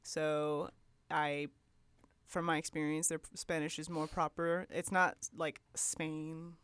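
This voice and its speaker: Brief: young Texan woman guest, relaxed and chatty, dry close sound. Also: low-pitched, slow pace, clear, resonant tone, no echo. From Texas. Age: 20s. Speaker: guest musician. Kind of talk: casual podcast chat.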